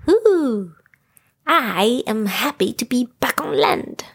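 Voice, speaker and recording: A woman's voice: fairly high pitch, big pitch swings, takes it slowly, breathy edge, heavily acted. A woman voicing a bouncy, adventurous male tiger in a storybook, exuberant and whooping. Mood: relieved.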